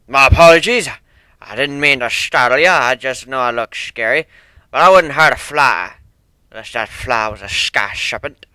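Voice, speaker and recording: Guy doing gruff old salty sailor pony, stern, deadpan, punchy voice, cartoon story style. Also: mid-range voice, normal speed, dry recording, close to an everyday voice. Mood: gruff, reassuring. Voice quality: stern, slurred S sounds, rolled R's. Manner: deadpan, blunt seafaring talk. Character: grizzled old male sea dog pegasus, gruff, deadpan.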